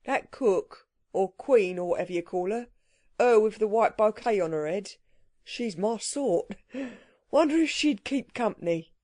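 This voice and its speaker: A woman voicing a rough working-class male burglar, talking in slangy, grumbling dialect. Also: medium pitch, expressive, measured pace, mellow, no room sound, clean studio sound, lightly acted. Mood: courting.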